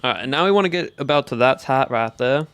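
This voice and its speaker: Smooth Southern-drawling man streaming a game. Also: medium pitch, expressive, quick pace, a bit bright. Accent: put-on US Southern. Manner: lax Southern drawl, draws out sentence endings. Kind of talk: casual gameplay livestream chat.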